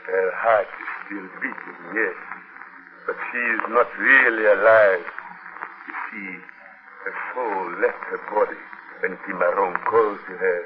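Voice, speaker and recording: A man's voice: sits low, steady tone, a little slow. A man playing a grave, formal servant who speaks with ominous deliberation in a 1940s radio horror drama. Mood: grave foreboding. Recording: hissy, muffled 1940s radio recording.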